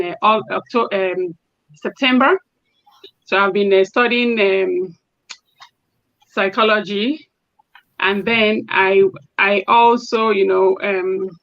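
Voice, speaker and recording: Woman from Nigeria, halting and filler-heavy, on a dull dry call line. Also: mid-range voice, a bit bright, no echo. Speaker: guest, healthcare assistant.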